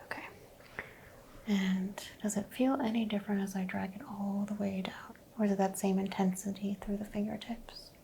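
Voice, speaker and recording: Softly whispering woman, mellow voice. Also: mid-range voice, flat delivery, moderate pace, vocal fry, a little hiss or hum. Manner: soft whispering, gentle step-by-step instructions. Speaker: ASMR creator playing a doctor. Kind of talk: ASMR doctor roleplay.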